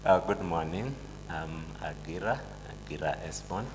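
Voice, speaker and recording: A man from Kenya who works in plant protection introduces himself hesitantly, with pauses. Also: low voice, noisy background. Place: conference hall. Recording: reverberant hall with music.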